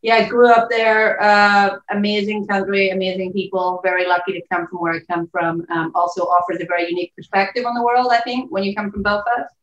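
A woman's voice: medium pitch, fairly even intonation, brisk, hardly any pauses. A woman with a Northern Irish accent, chatting upbeat as a podcast guest. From Northern Ireland. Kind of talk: podcast interview, remote. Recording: echoey, distant, muffled call audio.

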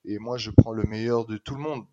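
Brief: middle-aged Réunion man, Réunionese accent with Québécois hints, deep-ish voice, emphatic and lively guest. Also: brisk, lo-fi sound. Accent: Réunionese French with some Québécois color. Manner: lively, emphatic, repeats words for stress. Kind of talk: casual conversation.

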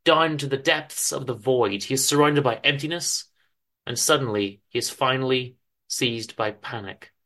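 A man giving a dramatic literary reading of a story, with theatrical, deliberate emphasis and pauses. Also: medium pitch, bright, forceful tone. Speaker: author reading own story aloud.

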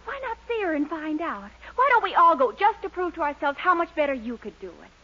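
High, bright, gushing young sweetheart. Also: female voice, big pitch swings, a little fast, exaggerated voice. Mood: flattering, coaxing. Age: young adult. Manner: gushing, exclamatory. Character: eager, gushing teenage daughter and sweetheart. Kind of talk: 1940s radio comedy acting. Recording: muffled, noisy 1940s radio recording.

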